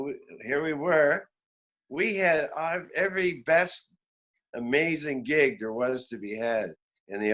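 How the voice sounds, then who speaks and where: A Canadian man in his seventies telling rambling, animated stories in a casual, reminiscing way as an interview guest. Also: mid-range voice. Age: 70s. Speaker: veteran musician, interview guest. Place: remote online call.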